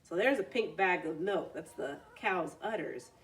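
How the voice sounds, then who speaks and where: A woman storyteller reads aloud for children with a very lively, swinging intonation. She mixes narration with simple explanatory asides. Her voice is a little distant from the mic. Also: fairly low pitch, unhurried.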